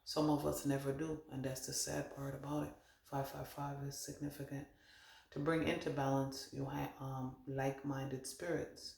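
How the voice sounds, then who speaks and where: Gravelly man giving a roomy spirit reading. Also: mid-range voice, darkish tone. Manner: meandering, thinks aloud, relays spirit messages. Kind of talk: channeled spiritual card reading. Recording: roomy echo.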